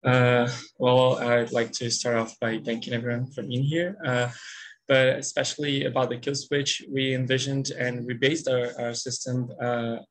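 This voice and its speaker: A man with a Brazilian accent talks in a very even, flat voice of medium pitch. He gives a halting, unscripted answer as a student on a team. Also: low-quality recording. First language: Portuguese.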